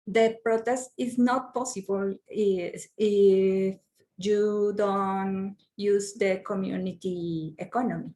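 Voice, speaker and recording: A woman's voice: mid-range voice, a little mellow. A woman from Colombia with a Colombian Spanish accent whose first language is Spanish, speaking hesitantly in a discussion and searching for English words between many fillers. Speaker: workshop participant. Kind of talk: halting discussion contribution.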